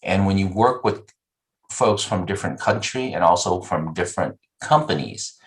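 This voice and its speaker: Man, very deep voice, measured, explanatory, interview guest. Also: animated, poor audio. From United States. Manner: measured, explanatory, uses "you know" and "right?" often. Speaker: guest, nonprofit executive. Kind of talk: interview answers.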